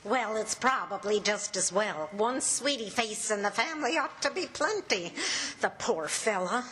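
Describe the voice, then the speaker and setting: A woman playing a patient, inquisitive housewife in an old-time radio comedy, brisk and gently chiding. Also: mid-range voice, animated, rough recording. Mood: wry. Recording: noticeable background noise.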